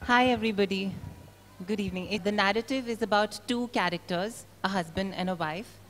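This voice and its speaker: A woman reads a story aloud to an event with dramatic delivery. Her voice sits at an average pitch, and the large room adds a long, echoing tail. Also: a little fast. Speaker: reader at a book event. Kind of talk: dramatic literary reading aloud. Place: large indoor event venue. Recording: echoey hall.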